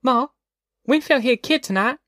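Man voicing a high-pitched restless little country girl. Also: normal speed, bright, forceful tone, dry recording, clean studio sound. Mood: eager tattling. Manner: folksy, ungrammatical child talk. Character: young girl, restless, tattling migrant child.

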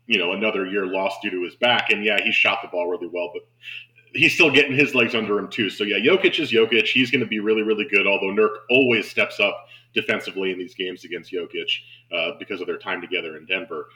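Bright, forceful man talking basketball. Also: lowish voice, quick pace, poor audio. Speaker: sports podcast host and basketball broadcaster. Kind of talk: casual sports analysis chat.

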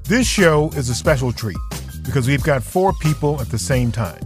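This is a man hosting a talk podcast, narrating in a measured, plain-spoken way. His voice sits at an ordinary middle pitch. A clear music bed plays behind him. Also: animated. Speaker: podcast host. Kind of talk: scripted narration and interview questions.

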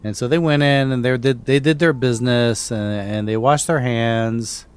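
A middle-aged man hosting a podcast, casually telling spooky stories with halting, repeated starts. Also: mid-range voice, steady tone, clean tone. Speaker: podcast host and storyteller.

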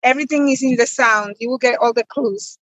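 A woman's voice: on the high side, a little fast, a bit bright, low-quality recording. A woman in her thirties from the Republic, answering interview questions conversationally as a farmer guest. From Dominican Republic.

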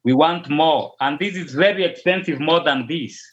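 Kenyan pastor on a dull call line. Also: male voice, on the high side, normal speed, a bit bright. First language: Luo. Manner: hesitant, repeats and restarts words. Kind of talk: interview answers over a call. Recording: dull lo-fi audio.

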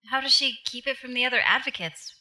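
A woman's voice: sits high, fast talker, sharp tone. An actress playing an earnest, concerned woman with a curious, questioning manner in an audio drama. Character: earnest, concerned woman on a played-back recording.

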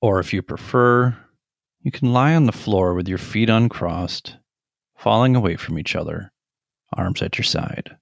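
A middle-aged man leading a guided meditation in a gentle, deliberate manner with long pauses. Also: fairly low pitch, gravelly, no echo, clean studio sound.

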